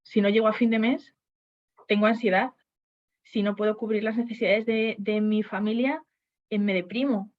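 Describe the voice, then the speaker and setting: Halting woman on a lo-fi call. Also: medium pitch, steady tone. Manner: hesitant, many restarts and fillers. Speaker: HR panelist. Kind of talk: webinar panel discussion.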